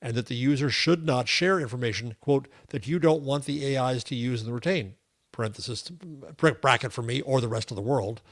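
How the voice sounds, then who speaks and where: A man's voice: mid-range voice, a little fast. Wry, digressive older man co-hosting. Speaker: podcast co-host. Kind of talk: casual chat.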